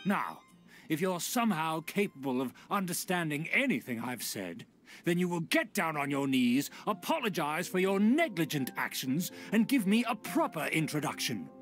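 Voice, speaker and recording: A man's voice: on the high side, sing-song, normal speed. An actor playing a haughty, disdainful nobleman from a fading clan, talking in a pompous, lecturing way over game music. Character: haughty aristocratic man of a declining noble clan.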